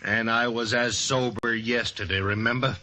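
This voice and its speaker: An actor playing a bitter, distrustful cavalry soldier in an old-time radio western, curt and sardonic. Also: male voice, medium pitch, fairly even intonation, normal speed, pushed and bright, close to an everyday voice. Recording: faintly noisy vintage radio recording.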